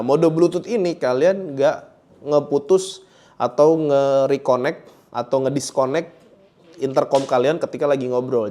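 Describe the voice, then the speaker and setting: A man's voice: mid-range voice, warm tone. A man with a Jakarta Indonesian accent giving a casual product review, speaking colloquially and straight to viewers, often repeating his phrases. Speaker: motorcycle gear reviewer and host.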